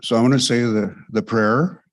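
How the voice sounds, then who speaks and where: An older man, a native Cree speaker, speaking a measured opening prayer and reflection at a podium on a hall stage. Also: medium pitch, steady tone. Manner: slow, measured, pausing often. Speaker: elder giving an opening prayer. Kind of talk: slow spoken prayer and reflection. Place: large lecture hall stage. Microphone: podium microphone.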